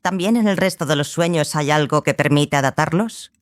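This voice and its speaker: Woman playing a composed, low-key scholarly sorceress mentor. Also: normal speed, close to an everyday voice. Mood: composed, probing. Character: small sorceress, learned lady mentor, composed and exacting. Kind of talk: audiobook drama acting.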